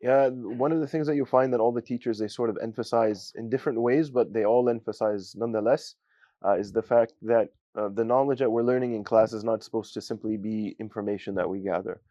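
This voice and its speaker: A young man from giving a student testimonial, talking haltingly with many fillers and Arabic phrases. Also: lowish voice, steady tone, warm tone, dry recording. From United States. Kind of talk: casual spoken testimonial.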